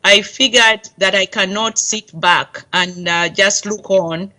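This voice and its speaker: A woman's voice: medium pitch, fairly even intonation, takes it slowly, sharp tone. A middle-aged woman from Uganda with a Ugandan accent, giving an earnest, rallying interview answer as a diaspora campaign volunteer.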